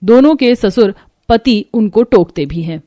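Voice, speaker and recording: A woman's voice: mid-range voice, lively intonation, a little slow, mellow. A woman reading a scripted story as the narrator of a cartoon.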